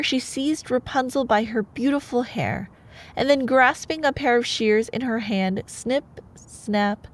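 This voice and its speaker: A woman acting as a sweet, playful girlfriend with a fairly high voice and a very unhurried pace. She sounds expressive and opinionated, in an intimate ASMR bedtime roleplay. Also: lightly acted. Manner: expressive, exasperated asides between measured reading. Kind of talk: intimate ASMR roleplay, storybook reading with commentary.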